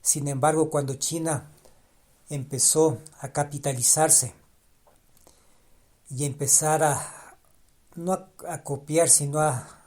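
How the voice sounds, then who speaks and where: A man's voice: fairly high pitch, a little mellow. A man speaking alone on a podcast in a reflective way, often restarting his phrases and repeating ideas for emphasis, his first language Spanish. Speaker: podcast host.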